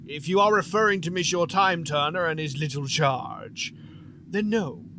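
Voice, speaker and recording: A man's voice: fairly high pitch, moderate pace, noisy background, close to an everyday voice. A man playing a sinister, clawed phantom monster in an audio drama, speaking in a theatrical, sardonic and menacing way. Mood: sardonic menace.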